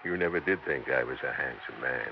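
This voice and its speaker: A deep-voiced, sardonic, weary salesman. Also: a little fast, vocal fry. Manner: dry, wisecracking, tired. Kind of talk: 1960s radio drama acting. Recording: dull old radio broadcast with faint hiss.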